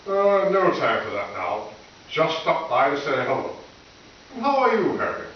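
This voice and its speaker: A man playing a formal, brisk school board official in old-time radio comedy style. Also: a little high, sing-song, highs cut off. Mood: genial, puzzled. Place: live stage performance in a reverberant room. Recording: echoey distant stage recording with hiss.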